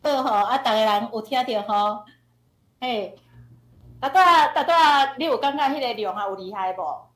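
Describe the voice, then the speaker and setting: Taiwanese lady teacher chatting to her online class, informal, higher voice, echoey room. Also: lively intonation, moderate pace, fairly bright tone. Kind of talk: chatty online language lesson.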